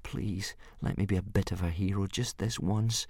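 A man's voice: medium pitch, lively intonation, normal speed, no echo, studio quality, pitched above the performer's own voice, natural voice. A man voicing a timid, anxious young Viking boy who mutters and explains meekly, in a children's storybook. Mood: pleading, anxious.

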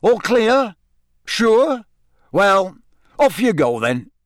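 A man's voice: high voice, very animated, drawn-out words, no echo, studio quality, voice pushed up, exaggerated voice. A man voicing a jovial, effusive middle-aged official hosting a contest in an audiobook. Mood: jovial, brisk. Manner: effusive, announcing.

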